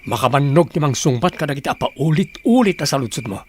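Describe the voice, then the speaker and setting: An actor playing an evasive, irritable husband in a radio drama, speaking defensively and wearily. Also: male voice, on the high side, animated, fast talker, slight background noise, natural voice. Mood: irritated. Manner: defensive, weary. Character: evasive, irritable working husband, dismissive and weary.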